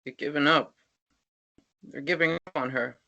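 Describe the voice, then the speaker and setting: A man's voice: on the high side, fairly even intonation, moderate pace, creaky, bright, forceful tone. A man playing a distraught grown son in an emotional drama table read. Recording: slightly dull lo-fi recording.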